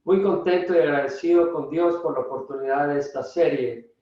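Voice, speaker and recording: An older man with a Salvadoran Spanish accent gives a personal introduction to a religious lecture, speaking deliberately with halting pauses. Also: a little high, dark tone. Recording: echoey, distant, dull room sound.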